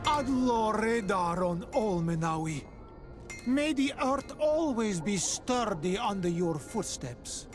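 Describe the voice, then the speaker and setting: A man's voice: high voice, big pitch swings, faint music behind, heavily acted. A man playing a troubled native islander merchant in a video game, speaking in an earnest, pleading and formal way. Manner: earnest, halting, foreigner unfamiliar with local ways. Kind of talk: video game dialogue acting.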